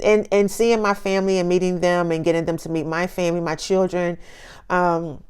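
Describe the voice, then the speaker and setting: A woman's voice: on the low side, level tone, brisk, a little mellow, clear, resonant tone. A woman in her fifties hosting her own channel, talking casually and upbeat, laughing often and repeating phrases for emphasis. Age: 50s. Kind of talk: casual talk to camera.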